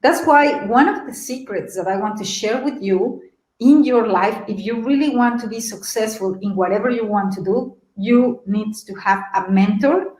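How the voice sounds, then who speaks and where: A middle-aged woman from Mexico City with a Mexican Spanish accent, giving a lively, animated motivational talk on a webinar and asking her audience questions. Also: on the high side, normal speed, echoey room, low-quality recording. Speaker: webinar presenter.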